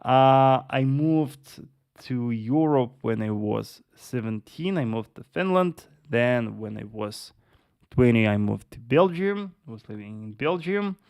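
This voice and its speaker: Guy doing a live forex stream, gravelly low voice, lots of yes and uh, keeps saying traders. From Russia. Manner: repeats words, addresses viewers as traders, many yes and uh fillers. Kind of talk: live market analysis with chat Q&A.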